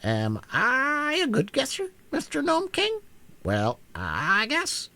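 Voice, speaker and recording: A man voicing a talkative female hen with a sharp, brisk voice, pitched high above his own. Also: wildly swooping pitch, gravelly, husky, full character voice.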